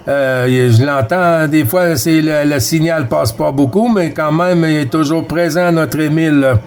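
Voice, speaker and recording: A man's voice: a little high, a little slow. A man with a Quebec French accent chatting casually as a CB radio operator, friendly and courteous, full of radio jargon. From Quebec, Canada. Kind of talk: casual radio contact chat.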